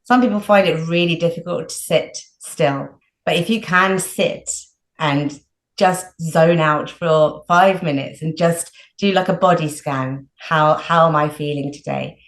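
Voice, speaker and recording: A woman's voice: sits low, unhurried. A woman health coach giving casual advice as an interview guest, chatty and full of "like" and "you know". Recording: roomy echo.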